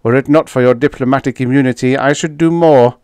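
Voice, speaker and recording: A man's voice: medium pitch, steady tone, brisk, darkish tone, smooth, no room sound, voice pushed up, natural voice. A man voicing a frail, evasive alien official in an audiobook, with a weak, trembling voice and formal, protesting diplomatic speech. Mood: indignant protest. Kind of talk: audiobook character dialogue.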